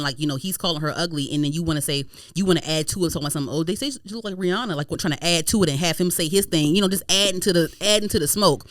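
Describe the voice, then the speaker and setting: A woman hosting a podcast in a casual gossip chat, animated, slangy and profane, often repeating herself for emphasis. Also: sits low, no room sound, clean studio sound. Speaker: podcast host.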